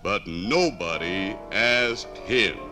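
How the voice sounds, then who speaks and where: An actor playing ancient, self-important iron ore come to life, orating pompously in a 1950s radio drama. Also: male voice, lowish voice, expressive, very slow, over music. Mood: aggrieved, grumbling.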